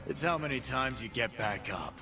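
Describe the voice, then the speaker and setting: An actor voicing a cocky, brawling male fight-pit boss in game voice lines, full of gruff, boastful trash talk, with game music behind. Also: mid-range voice, animated, moderate pace, lightly acted.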